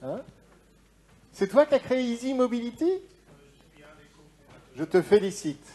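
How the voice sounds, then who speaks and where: High-voiced upbeat man hosting an event. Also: very animated, dark tone. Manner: brisk, upbeat, calls startups on stage. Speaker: event host. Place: event stage.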